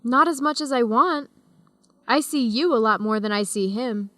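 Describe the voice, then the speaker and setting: A woman's voice: a little high, moderate pace, pushed and bright, dry recording. An actress playing a friendly, easygoing young woman student traveler in a radio drama for English learners. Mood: lighthearted. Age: young adult.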